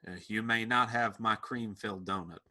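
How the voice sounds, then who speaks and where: A man playing a teasing band member in a comic table read, with a deadpan delivery. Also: on the low side, normal speed.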